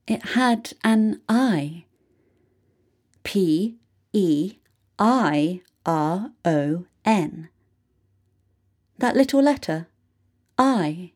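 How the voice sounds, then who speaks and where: A woman narrates a written first-person story at a slow pace. Her voice is mid-pitched, and she reads deliberately, leaving dramatic pauses between phrases. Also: a little mellow, husky. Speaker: reader of a written true story.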